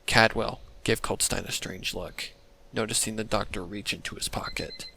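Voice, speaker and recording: A man narrating a scripted story in a measured, dramatic way. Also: lowish voice, creaky, slight background noise, rough recording. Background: loud music.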